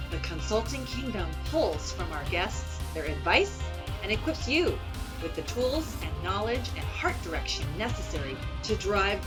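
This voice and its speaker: A woman reading a polished, upbeat scripted promo intro as the show's announcer. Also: medium pitch. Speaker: show announcer. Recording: voice over loud music. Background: music.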